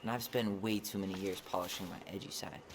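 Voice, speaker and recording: An actor playing a guarded, dry-witted young American brother in naturalistic video game dialogue. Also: male voice, low voice, steady tone, quick pace. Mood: wistful, easygoing. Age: young adult. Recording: noisy ambient background.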